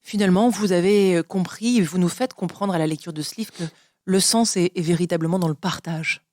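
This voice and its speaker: A woman's voice: lowish voice, a little fast, a little mellow, no echo, studio quality. A woman hosting a literary radio interview, reading and asking questions. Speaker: radio host interviewing a guest.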